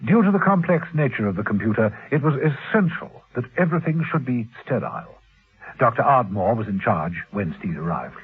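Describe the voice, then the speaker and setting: A man's voice: medium pitch, sing-song, brisk. A man narrating a classic radio spy drama in a theatrical, suspenseful and arch manner. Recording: muffled, husky-sounding old radio recording.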